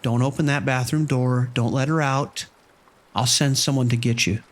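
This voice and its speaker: An actor playing a worried, protective casino manager, speaking urgently in a horror audio drama phone call. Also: male voice, medium pitch, steady tone, normal speed, natural voice. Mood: concerned. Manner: urgent, concerned.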